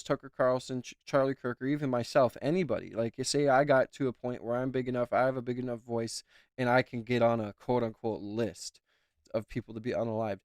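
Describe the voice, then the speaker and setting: A man's voice: medium pitch, no room sound, clean studio sound. A man commenting as a YouTuber, talking in a rambling, emphatic way and repeating himself. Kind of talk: casual reaction commentary.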